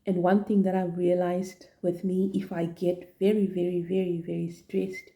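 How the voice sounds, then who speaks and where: A woman in her forties from Zimbabwe, with a Zimbabwean accent, talking thoughtfully in a personal vlog with many pauses and fillers. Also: on the low side, little pitch movement, gravelly, dark tone. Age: 40s. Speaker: vlogger and trainee teacher.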